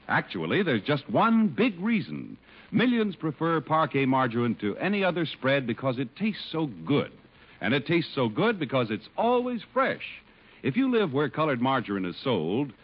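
A man's voice: a little high, slightly rough. A man announcing a vintage 1950s radio show in scripted, old-time style. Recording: dull old radio broadcast.

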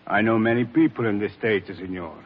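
An actor playing a worried, earnest Sicilian bar owner with an Italian accent in a 1940s radio drama. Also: male voice, lowish voice, fairly quick, close to an everyday voice. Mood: guarded. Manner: earnest, cautionary. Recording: muffled, noisy old 1949 radio recording.

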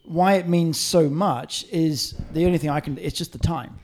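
A middle-aged man from Hong Kong and Singapore chatting casually as a podcast co-host, telling stories in an animated, sweary fan's manner. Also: fairly high pitch, normal speed. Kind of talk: casual chat with football reminiscing.

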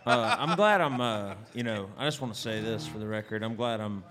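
Laid-back, low-voiced musician joking about himself. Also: male voice, animated, fairly quick, a bit bright. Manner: self-deprecating, laid-back. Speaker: musician guest. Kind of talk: casual chat. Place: live music venue.

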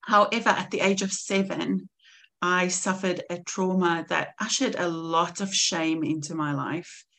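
A woman's voice: fairly low pitch, fairly even intonation, unhurried, vocal fry, sharp tone. A woman in her forties from South Africa with a South African accent, telling her faith story as a podcast guest, earnest and reflective. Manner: earnest and reflective, laughs easily. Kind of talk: personal testimony in conversation.

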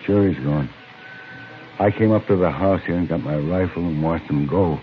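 A man's voice: low voice, moderate pace, close to an everyday voice. A man playing a folksy, plainspoken rancher with a rural American accent in a 1950s radio western. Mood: puzzled. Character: folksy, easygoing Old West rancher. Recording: muffled, noisy vintage radio recording.

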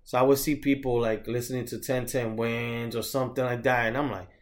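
A man's voice: medium pitch, little pitch movement, brisk, soft background music. A man in his thirties co-hosting a podcast, telling laid-back stories in casual chat and swearing now and then. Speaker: podcast co-host.

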